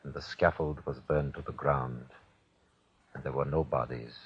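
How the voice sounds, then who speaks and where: A man's voice: very deep voice, steady tone, moderate pace, husky. An actor playing a grave man giving a solemn, stark report in short sentences, in 1940s radio drama style. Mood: solemn, haunted. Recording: dull vintage 1940s radio recording.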